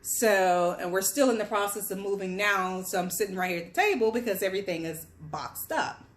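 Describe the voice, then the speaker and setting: A woman's voice: medium pitch, expressive, brisk. Candid young woman from Guyana, chatty home storyteller, mid-range voice. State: pregnant with twins, on bed rest. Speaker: vlogger. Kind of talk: casual personal story to camera. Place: home, at a table among boxes.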